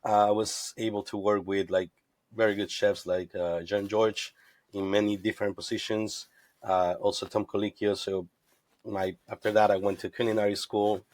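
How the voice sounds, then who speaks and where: A lowish-voiced man from Ecuador recounts his path in the drinks trade as a podcast guest. He talks in an earnest, storytelling way, dotted with "you know". The sound is close and dry, with no room around it. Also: flat delivery, moderate pace, darkish tone, no echo. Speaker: guest, tequila brand representative. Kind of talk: casual podcast interview.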